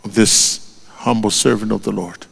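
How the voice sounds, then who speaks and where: A man with a Tongan accent, whose first language is Tongan, delivering a formal funeral eulogy in a solemn, measured way and lifting his voice on each name. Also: fairly low pitch, fairly even intonation, gravelly, a bit bright, husky. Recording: long hall reverb.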